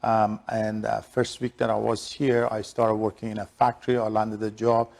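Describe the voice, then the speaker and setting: Middle aged iranian guy, persian accent, gravelly creaky voice, answering interview questions. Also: fairly low pitch, level tone, normal speed, darkish tone. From Shiraz, southern Iran. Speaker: interview guest, real estate broker.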